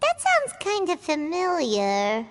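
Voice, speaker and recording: A woman voicing a small, curious and excitable childlike companion creature in a video game, over music. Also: very high voice, takes it slowly, full character voice.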